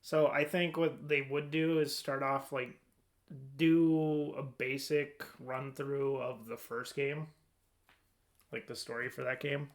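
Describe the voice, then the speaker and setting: This is a man with a medium-pitched voice leading a casual podcast discussion. He talks informally, feeling his way through each thought. The delivery is conversational and somewhat hesitant. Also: little pitch movement, slow pace. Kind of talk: casual chat.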